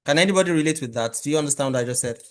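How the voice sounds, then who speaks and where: A man co-teaching a motivational class, speaking in a measured way and addressing the audience directly. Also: a little high.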